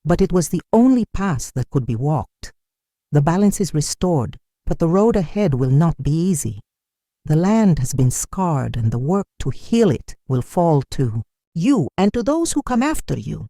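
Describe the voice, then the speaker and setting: A narrator voicing a wise, sorrowful old male elder in a folktale, giving grave, measured counsel. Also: medium pitch, big pitch swings, dark tone, no room sound, studio quality.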